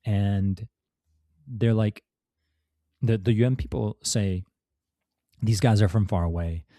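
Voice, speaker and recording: Low, mellow man telling history. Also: fairly even intonation, takes it slowly, dry recording, clean studio sound. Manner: rambling, many fillers and restarts, laughs now and then. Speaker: podcast guest, author. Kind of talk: casual storytelling history talk.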